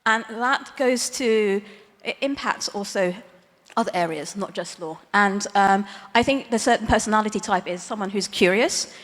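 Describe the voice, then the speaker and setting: A middle-aged woman lawyer speaks from a conference stage in a chatty, self-deprecating way, dropping small laughs and fillers. Her voice sits at an ordinary middle pitch. The sound carries the long reverb of a large hall. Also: moderate pace, fairly bright tone. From Dutch, Hong Kong, Chinese background; lives in the UK. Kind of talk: conference talk.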